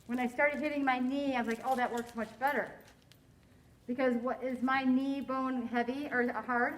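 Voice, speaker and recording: A woman teaching a science lesson to a class, quizzing her students in a slow, deliberate way. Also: sits high, fairly even intonation, slight background noise, rough recording.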